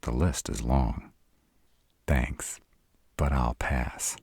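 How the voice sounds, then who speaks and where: A man's voice: very deep voice, steady tone, very slow, vocal fry, darkish tone, breathy edge, no room sound, clean studio sound, exaggerated voice. A man playing a sarcastic, irritable vampire in an intimate roleplay audio drama, grumbling and dramatic in his delivery. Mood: dismayed, sardonic. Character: sarcastic irritable male vampire, stranded in a human's home.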